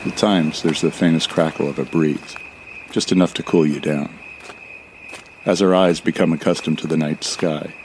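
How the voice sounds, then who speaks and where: Very deep, gravelly horror-drama narrator. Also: male voice, darkish tone, a little hiss or hum, low-quality recording. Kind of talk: first-person audio drama narration.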